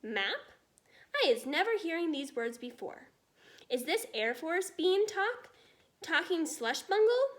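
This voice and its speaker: A gentle, homespun storybook giant played by a woman reader, high-voiced and very animated. He tangles his grammar and sprinkles his talk with made-up words. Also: takes it slowly, exaggerated voice. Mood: puzzled, explaining. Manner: muddled grammar and invented nonsense words.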